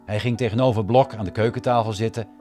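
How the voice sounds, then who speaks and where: A man's voice: fairly low pitch, fairly even intonation, fast, dark tone, faint music behind, natural voice. A man narrating a literary radio drama in a scripted storytelling style. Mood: measured, reflective.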